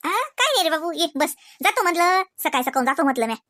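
A woman performs a married village daughter in a comedy cartoon, with a very high voice. She rambles on in homely, colloquial speech with a Varhadi Marathi accent. The sound is close and dry. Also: big pitch swings, fast, pushed and bright, no room sound, heavily acted. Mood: protesting, insistent. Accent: Varhadi Marathi, Vidarbha. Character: chatty married village daughter visiting her family. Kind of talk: rural comedy cartoon dialogue.

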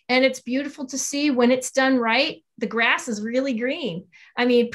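A woman's voice: on the high side, a bit bright. A woman in her forties speaking earnestly and emphatically as an interview guest, explaining her advocacy work over a video call. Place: small home office, indoors. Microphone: video call on a computer.

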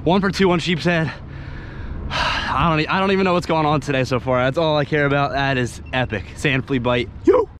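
A young American man giving excitable, slangy casual commentary while fishing, recorded outdoors on an action camera. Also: a little high, normal speed. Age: young adult. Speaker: fishing vlogger. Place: outdoors on a small boat under a bridge. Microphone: head-mounted action camera. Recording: noisy outdoor action-camera audio on boat.

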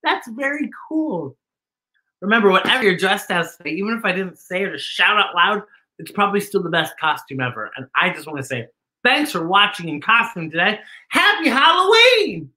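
Animated, theatrical man hosting children's story time. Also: high voice, moderate pace. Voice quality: slightly impeded articulation from costume fangs. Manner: theatrical, exclamatory, playfully sing-song. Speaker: children's story-time host, drag performer. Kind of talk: playful children's show presenting.

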